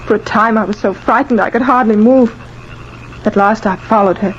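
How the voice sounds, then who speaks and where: Terrified young bride-to-be played by a woman, halting and dramatic, mid-range voice, muffled vintage radio drama. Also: medium pitch, close to an everyday voice. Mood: frightened, pleading. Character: frightened young bride-to-be haunted by a ghost. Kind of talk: 1950s radio drama acting. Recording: dull, noisy old radio recording.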